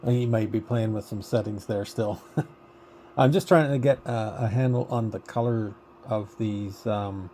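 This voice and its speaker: Low, mellow man musing over noisy radio. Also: unhurried. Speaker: amateur radio operator. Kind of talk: casual radio chat. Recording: noisy amateur radio digital voice contact.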